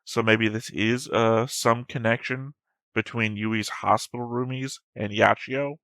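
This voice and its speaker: A man's voice: medium pitch, steady tone, measured pace, a bit bright, clean tone, no room sound. A man hosting an anime reaction channel, reading through his notes and theories, rambling and correcting himself as he thinks aloud. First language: English.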